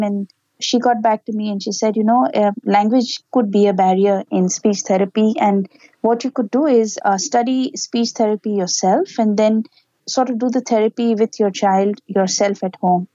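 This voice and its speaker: A woman's voice: mid-range voice, steady tone, moderate pace, dark tone, dry recording, lo-fi sound. A woman, a parent giving earnest, explanatory answers in an interview. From Pakistan. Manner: earnest, explanatory, with small laughs. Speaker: interview guest, parent and educator.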